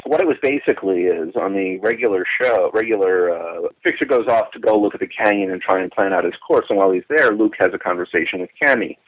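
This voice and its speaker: A man explains things informally as a commentator, circling back and rephrasing as he goes. His voice is on the low side, and the recording is muffled and lo-fi. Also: fast, hardly any pauses. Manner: rambling, repeats and rephrases himself. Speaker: commentator on radio drama history. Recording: dull, lo-fi voice recording.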